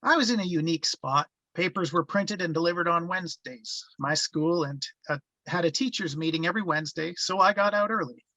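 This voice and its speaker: Guy acting as a man telling his boyhood story, really bright voice, dry close sound. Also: fairly high pitch, a little fast, hardly any pauses, dry recording, poor audio. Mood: reflective. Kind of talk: first-person narration in a screenplay table read.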